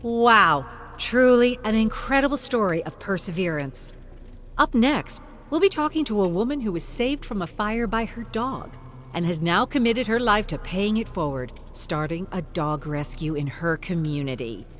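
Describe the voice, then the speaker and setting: A woman's voice: mid-range voice, animated, moderate pace, faint music behind, pitched above the performer's own voice, natural voice. A woman voicing a confident, polished and defiant female talk-show host in a dramatic story reading. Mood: bright, presenting. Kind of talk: dramatic audiobook character voice.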